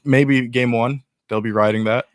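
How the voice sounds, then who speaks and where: A man's voice: mid-range voice, steady tone, normal speed, dry recording, clean studio sound. A young man guesting on a casual chat show, earnestly breaking down his team's chances. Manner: earnest, explains at length with restarts.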